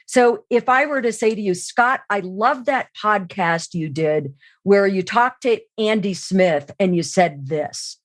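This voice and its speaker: A woman's voice: mid-range voice, unhurried, few pauses, no echo. A woman speaking as an expert interview guest, explaining her points conversationally with emphasis on key words. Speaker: podcast guest, sales trainer and keynote speaker.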